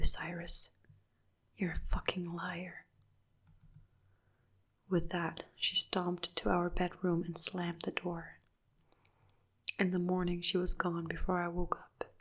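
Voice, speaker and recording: A woman narrating a dark first-person story in a soft, hushed whisper throughout. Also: lowish voice, breathy edge.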